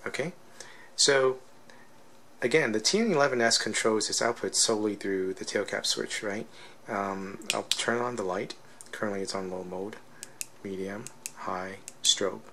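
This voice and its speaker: A man's voice: fairly low pitch, vocal fry, pushed and bright, slight background noise. A man giving a casual, unscripted technical demonstration as a product reviewer, explaining step by step and often checking in with a questioning tag.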